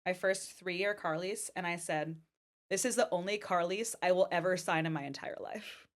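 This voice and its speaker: A woman's voice: on the low side, moderate pace. A woman from the US East Coast co-hosting a casual podcast chat, easygoing and saying "like" often. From born in New York, raised near Boston, US. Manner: easygoing, chatty, says "like" often. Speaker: podcast co-host.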